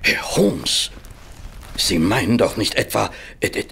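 An actor playing a well-mannered, slightly bumbling gentleman companion of a detective, halting and deliberate, in an audio drama. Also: on the low side, sing-song, creaky, breathy edge, heavily acted. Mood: hesitant, pondering. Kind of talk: German audio drama acting.

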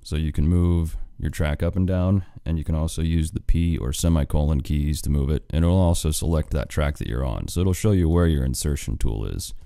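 A deep-voiced American man walks through a software tutorial step by step. His voice is mellow and smooth, recorded dry and close like a studio voice-over. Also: brisk, few pauses, no echo. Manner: plain step-by-step explaining. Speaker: audio engineer and instructor.

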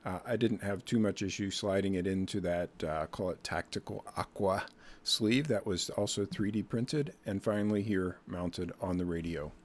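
Low-pitched man explaining a hobby project. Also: moderate pace. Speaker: host of an electronics hobby channel. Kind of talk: casual explanatory project narration. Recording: clear steady background noise.

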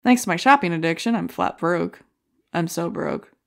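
A woman's voice: lowish voice, normal speed, lightly acted. An actress playing a brash, self-deprecating female stand-up comic, delivering jokey rhetorical setups and punchlines in an audio drama. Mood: wry, playful. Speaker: comedy contest contestant.

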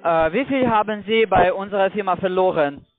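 A man's voice: high-pitched, a little fast, no echo. A man with a foreign accent, not a native German speaker, speaking over the phone in an emphatic, threatening manner. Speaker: phone scam caller. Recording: dry, narrow tinny phone line.

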